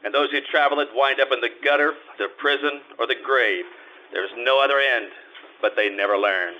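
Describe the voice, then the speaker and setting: An actor playing a hard-boiled private detective who narrates and trades dry wisecracks in a 1950s-style radio drama. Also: male voice, mid-range voice, brisk, muffled recording, close to an everyday voice. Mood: grim. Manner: dry wisecracks, laconic first-person narration.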